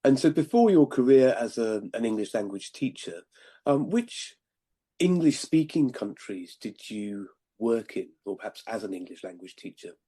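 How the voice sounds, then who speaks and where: A man hosting an interview, asking polite questions and often trailing off softly at the ends of sentences. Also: mid-range voice, sing-song, normal speed, husky. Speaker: interview host. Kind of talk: podcast interview.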